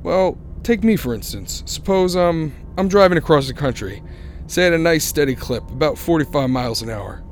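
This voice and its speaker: A man's voice: fairly high pitch, lively intonation, normal speed. Haunted young motorist played by a man for radio drama: earnest, anxious narrator over faint music. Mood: agitated. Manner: earnest, dramatic, stammering when agitated. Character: haunted, anxious young male cross-country driver narrating his ordeal.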